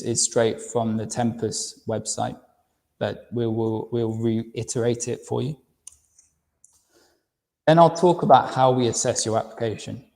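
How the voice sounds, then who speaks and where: A London-accented man in his thirties gives a friendly university webinar, stumbling over and repeating words now and then. His voice sits at a middle pitch with a dark, mellow tone. A long reverb trails after his words, as if he is speaking in a large room. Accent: London English. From United Kingdom. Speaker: university recruitment director presenting a webinar. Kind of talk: webinar presentation.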